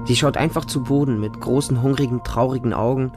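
A man's voice: medium pitch, steady tone, fairly quick, over music, close to an everyday voice. A man playing a small, wary homeless boy who narrates his hungry street life in short, simple sentences for a radio drama. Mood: quiet, wistful. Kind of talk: radio drama monologue in present tense.

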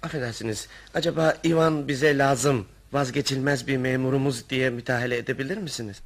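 A man's voice: mid-range voice, steady tone, brisk, natural voice. An actor in a radio play voicing an earnest, exasperated male clerk, polite and insistent, who stands up for his friend. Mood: timid. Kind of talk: radio theatre acting and first-person narration.

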